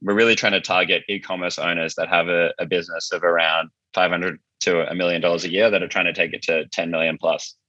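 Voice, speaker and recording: Deep-voiced man, bright casual podcast guest. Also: flat delivery, fast, hardly any pauses, no room sound, dull audio. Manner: informal, explanatory, thinking aloud. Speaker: podcast guest, marketing agency founder. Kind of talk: casual conversational interview.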